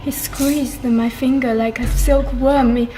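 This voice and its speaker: An ominous, solemn woman speaks poetic lines in a fairly high, flat voice. There is music behind her. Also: slow pace. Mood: ominous, sorrowful. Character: foreboding woman grieving over a cursed infant. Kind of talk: poetic stage narration. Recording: music under the voice.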